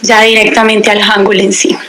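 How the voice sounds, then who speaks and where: A woman's voice: medium pitch, moderate pace, heavy background noise. A young woman, a language teacher giving a radio interview and candidly telling her story. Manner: lively, candid storytelling, acts out quoted dialogue.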